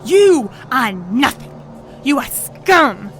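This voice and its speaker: A woman playing a scheming, menacing pony villain in an audio drama, taunting in a theatrical, drawn-out way. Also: high voice, big pitch swings, faint music behind, exaggerated voice. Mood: domineering.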